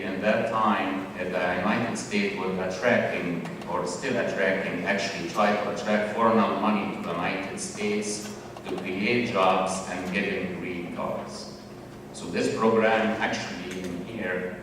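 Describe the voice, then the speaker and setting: A man from Egypt with an Egyptian accent giving a public presentation, halting with fillers and restarts. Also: on the low side, background noise. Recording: echoey hall from far off.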